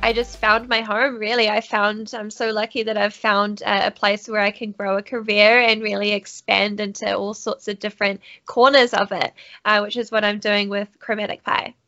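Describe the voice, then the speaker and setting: A woman's voice: mid-range voice, level tone, normal speed, sharp tone, lo-fi sound, soft background music. A young woman from New Zealand with a New Zealand accent, chatting as a podcast guest, laughing often and restarting her sentences. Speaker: guest, studio CEO and streamer. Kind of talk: casual podcast interview.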